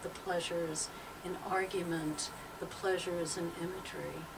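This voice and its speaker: An older woman speaks slowly and deliberately, like a poet used to reading aloud. Her pitch is medium, and she sounds some way from the microphone, with clear noise behind her. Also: flat delivery. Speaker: poet and teacher. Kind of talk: interview talk and poetry reading aloud. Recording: distant mic, clearly noisy background.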